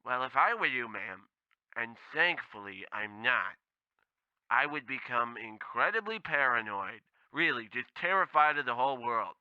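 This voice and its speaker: A man's voice: medium pitch, expressive, a little slow, pushed and bright, clear, resonant tone, no room sound, lo-fi sound. A man playing a blithely tactless temp agency clerk who rambles and bumbles in a comedy radio play. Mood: ominous warning. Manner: rambling, bumbling, bluntly insensitive.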